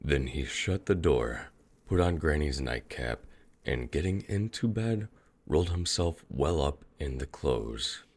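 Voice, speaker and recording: A man narrating a fairy tale as a storyteller, in a measured, atmospheric manner. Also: very deep voice, lively intonation, a little mellow.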